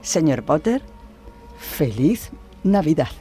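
A woman's voice: deep voice, sing-song, takes it slowly, dark tone, soft background music, exaggerated voice. A woman playing a devoted, eager wife in a Christmas radio play. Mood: tender. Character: devoted excited wife, Mary.

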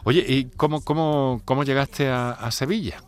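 A man's voice: mid-range voice, normal speed, clean tone. A man hosting an interview, friendly and questioning, often breaking into laughter. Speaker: interview host. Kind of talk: conversational interview.

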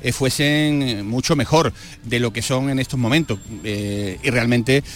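A man's voice: mid-range voice, unhurried. A man reporting live for radio from an outdoor square full of fans, chatty, with many filler sounds and restarts. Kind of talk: live on-location sports report.

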